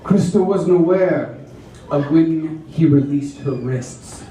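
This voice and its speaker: A man performs a dramatic reading at a slow, drawn-out pace. His voice sits fairly high for a male reader and is heard from a distance in a very echoey room. The delivery is theatrical. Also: mellow. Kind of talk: mock-dramatic reading of erotic romance fiction. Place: roomy venue with a live audience. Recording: echoey room from far off, audience noise.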